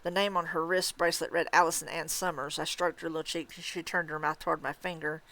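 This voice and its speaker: A woman reading a story aloud in dramatic, expressive, suspenseful narration. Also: low voice, quick pace, poor audio. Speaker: story reader. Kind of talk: dramatic audiobook-style narration.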